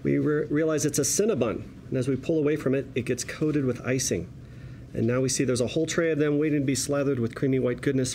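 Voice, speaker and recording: Male voice, script narrator, scene directions read aloud, mid-range pitch, light room noise. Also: warm tone. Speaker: screen direction reader at a table read. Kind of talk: reading screenplay directions aloud. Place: room with a group at a table read. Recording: noisy room ambience.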